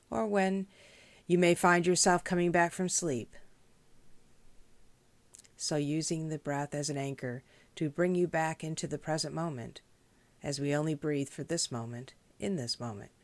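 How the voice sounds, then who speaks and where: Woman doing a guided body scan, gentle and measured, teacher style, dry recording. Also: low voice, no echo. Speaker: meditation teacher.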